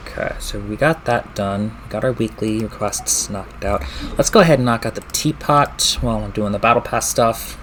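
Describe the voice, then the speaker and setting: A man's voice: on the low side, moderate pace, a bit bright. A man chatting casually and informally as he live streams a game, swearing mildly. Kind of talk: casual gaming stream chat. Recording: clearly noisy stream audio.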